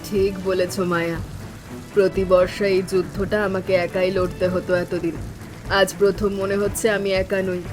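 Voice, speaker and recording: A woman playing a caring elder sister-in-law in an animated family drama, speaking in a reassuring, gently instructing manner. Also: mid-range voice, normal speed, lightly acted. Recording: music bed with rain sounds.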